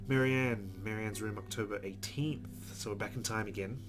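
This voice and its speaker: A man's voice: fairly low pitch, fairly even intonation, normal speed. A man reading a visual novel aloud as a let's play host, adding casual commentary. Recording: background guitar music. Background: game music with plucked guitar.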